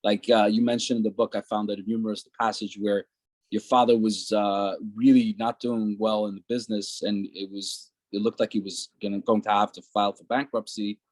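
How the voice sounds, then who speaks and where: A man's voice: fairly low pitch, little pitch movement, fairly quick, a little mellow, no echo. A man hosting an interview, asking long, loose questions full of fillers. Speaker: interviewer and host.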